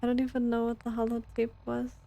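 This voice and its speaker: Mellow, creaky-voiced woman streamer. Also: a little high, completely flat delivery, quick pace, highs cut off, a little hiss or hum. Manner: rambling, conversational, thinking aloud. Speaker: game streamer. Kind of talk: casual livestream chat while playing a game.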